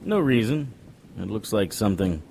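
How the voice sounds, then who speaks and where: An actor playing a wry, unflappable occult detective, narrating an audio drama in a dry noir style. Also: male voice, on the low side, lively intonation, normal speed, creaky, a little mellow, a little hiss or hum.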